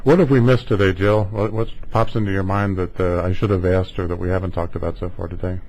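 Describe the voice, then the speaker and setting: A man hosting a conversational business interview, talking in a halting way with hedging asides. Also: low-pitched, flat delivery, darkish tone, poor audio. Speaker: interview host.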